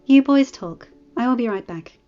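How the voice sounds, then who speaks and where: A woman voicing a gentle noble lady speaking tenderly to family. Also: a little high, lively intonation, normal speed, voice pushed up, close to an everyday voice. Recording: dull audio with faint music.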